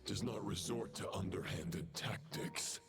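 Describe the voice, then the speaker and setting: Very gravelly, grim fallen knight. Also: male voice, fairly low pitch, measured pace. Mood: solemn, resolute. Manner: formal, archaic, solemn declaiming. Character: grim fallen knight, proud, chivalrous, menacing villain. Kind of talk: dramatic video game voice acting. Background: loud music.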